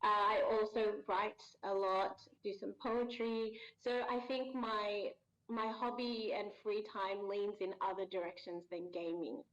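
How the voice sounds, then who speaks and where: Woman, 30s, interview guest; mid pitch, thoughtful with lots of fillers, muffled call audio. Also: steady tone, unhurried, a bit bright. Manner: thoughtful, hesitant, many fillers and restarts. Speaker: voice actress guest. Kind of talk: casual interview answers.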